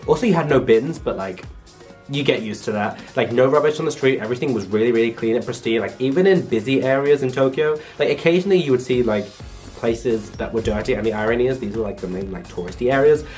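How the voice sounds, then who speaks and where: A young man from England chats to his viewers in an easygoing vlog, leaning on "like" as he goes. His voice sits at an ordinary middle pitch. Faint music plays behind him. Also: fast, a little mellow. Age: young adult. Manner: chatty, lots of "like" fillers. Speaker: vlogger. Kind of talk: casual vlog monologue.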